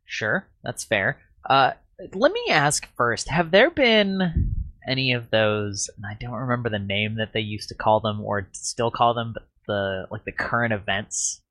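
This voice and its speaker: Slightly raspy man hosting a podcast. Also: medium pitch, animated, measured pace, fairly bright tone, no room sound. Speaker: podcast host. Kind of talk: casual podcast chat.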